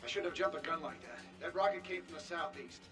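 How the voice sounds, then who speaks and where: An actor playing a tense, dutiful military lieutenant in a video game, reporting urgently and tersely. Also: male voice, a little high, fast talker, lightly acted. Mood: rattled. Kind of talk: video game cutscene acting. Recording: distant, very loud music and vehicles.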